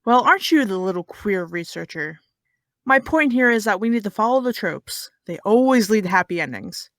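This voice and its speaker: A woman's voice: medium pitch, lively intonation, normal speed, dry recording, clean studio sound, lightly acted. An actress playing a brash, mocking teenage girl in an audio drama, sarcastic, flippant and theatrical. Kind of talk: naturalistic audio drama acting.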